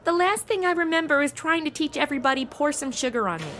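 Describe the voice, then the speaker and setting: Comedy audio-drama party girl female actor: young, exasperated, breezy, bright edge, very animated. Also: sits high, fast talker, a little hiss or hum. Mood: puzzled. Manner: exasperated, breezy modern slang. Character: flighty young female temp secretary, indignant party girl.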